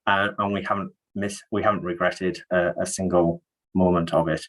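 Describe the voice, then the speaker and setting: A man's voice: low-pitched, flat delivery, moderate pace. A middle-aged man with a northeast English accent introducing himself on a live webinar in a friendly, lightly joking way. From northeast England. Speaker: network development manager, webinar guest.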